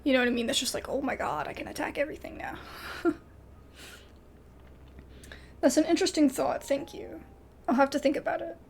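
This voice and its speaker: American female gaming host: high, fry-tinged voice, chatty and giggly, off-the-cuff delivery, noisy background. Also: fairly quick, long pauses. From United States. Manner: chatty, giggly, thinks out loud. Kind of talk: casual let's play chat and reading game text aloud.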